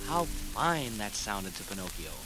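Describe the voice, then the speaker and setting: A man narrating a children's story dramatically, with clear enunciation, in a 1940s record style. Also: on the low side, sing-song, moderate pace, low-quality recording. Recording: old 78 rpm record with music.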